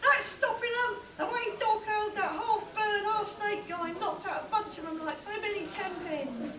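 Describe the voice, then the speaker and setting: A woman's voice: very high voice, normal speed, crowd noise. A woman playing a scrappy, pugnacious male chemist in a live spoof radio play. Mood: amused, excited. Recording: distant mic in echoey hall, audience noise.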